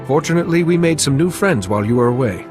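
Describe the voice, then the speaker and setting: An actor playing a calm, dutiful Jedi hero in a video game, with a measured, formal and earnest delivery. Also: male voice, on the high side, over music, close to an everyday voice. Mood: reassuring.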